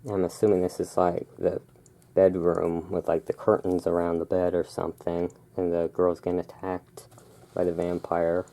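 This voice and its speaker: A host giving a relaxed ASMR read-aloud, drifting into casual, musing asides. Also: deep voice, normal speed, creaky. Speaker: host reading and commenting on a magazine.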